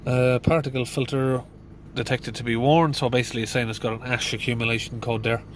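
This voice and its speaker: Mechanic guy sitting in a van, stuffy hay fever voice, pretty monotone, bit of hiss behind. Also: mid-range voice, brisk. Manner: plain, thinking aloud with fillers. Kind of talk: casual diagnostic walkthrough. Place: inside a van in a garage.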